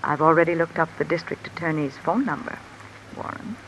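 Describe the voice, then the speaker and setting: A woman's voice: sits low, a little fast, close to an everyday voice. A woman playing a calculating, discontented wife with an insinuating, controlled manner in 1950s radio mystery style. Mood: commanding, threatening. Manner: insinuating, controlled, coolly commanding. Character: cool, calculating, discontented wife blackmailing a man. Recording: old radio recording with steady rain noise. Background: rain falling.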